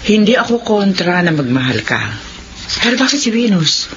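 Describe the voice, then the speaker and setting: An actress playing a stern, protective, disapproving aunt in a radio drama, scolding with emphasis. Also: female voice, medium pitch, big pitch swings, normal speed, close to an everyday voice. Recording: dull sound, faint ambient noise.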